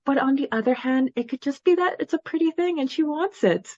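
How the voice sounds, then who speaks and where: A woman co-hosting a podcast in casual fan chat, animated and halting as she thinks aloud, on a slightly lo-fi recording. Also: high-pitched, dry recording. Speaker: podcast co-host.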